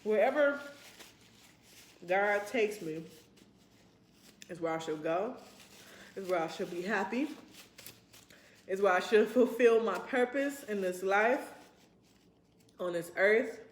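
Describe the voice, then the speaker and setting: A woman's voice: medium pitch, takes it slowly, long pauses. A woman in her twenties chatting casually to her vlog audience in a rambling, reflective, informal way. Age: 20s. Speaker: vlogger. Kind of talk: casual chat to camera. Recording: roomy, echoey sound.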